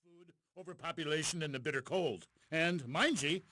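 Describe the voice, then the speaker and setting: A man's voice: on the high side, moderate pace. A man voicing a boastful, crude Viking warrior with a put-on old Norse brogue and an archaic, insulting way of talking. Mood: blustering. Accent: put-on old Norse pirate brogue. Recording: loud background noise.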